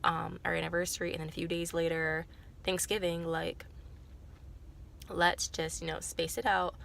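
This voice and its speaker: A woman's voice: fairly low pitch, little pitch movement, moderate pace, gravelly, a bit bright, a little hiss or hum. A young woman with a cold, sounding congested and nasal, chatting casually to camera in a rambling way full of fillers and self-corrections. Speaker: vlogger.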